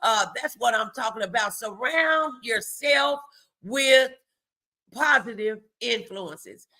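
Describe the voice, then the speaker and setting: A woman delivers faith-based motivation as a livestream host. Her voice is bright and forceful, with a creaky edge. She takes her time, leaning on key phrases and repeating them emphatically as she urges listeners on. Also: on the high side, animated, slow.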